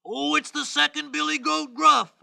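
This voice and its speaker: Middle goat brother for a storybook, played by a man: raised pitch, flustered bargainer, bright. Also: steady tone, moderate pace, vocal fry, no echo, clean studio sound, exaggerated voice. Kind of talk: children's storybook character voice.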